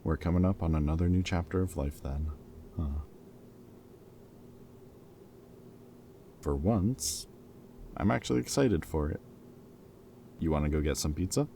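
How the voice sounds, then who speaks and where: Guy acting as a reformed villain, super low voice, teasing and caring, chatty audio roleplay, bit of background noise. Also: normal speed, pauses often, dark tone. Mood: warm, excited. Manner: casual, wry, teasing banter with sighs and chuckles. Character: teasing, caring male ex-villain planning with old hero friend. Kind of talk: intimate roleplay audio drama, conversational.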